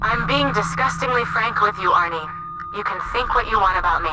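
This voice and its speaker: A woman's voice: mid-range voice, fairly quick, lightly acted, low-quality recording. An actress playing a tough but evasive female spaceship pilot in a video game cutscene, blunt and earnest as she justifies herself. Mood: confessing, pleading. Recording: loud music over the voice.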